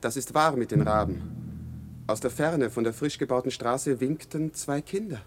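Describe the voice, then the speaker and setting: A man's voice: medium pitch, a little slow, background noise, natural voice. An actor playing a dreamy condemned soldier who reports lyrically and deferentially to his captain in a poetic radio drama. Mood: dreamy, wistful.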